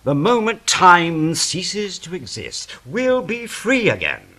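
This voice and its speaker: Man playing a slow, solemn angel. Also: high-pitched, wildly swooping pitch, sharp tone, heavily acted. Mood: defiant. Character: solemn, aloof male angel at the end of time. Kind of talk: audio drama acting.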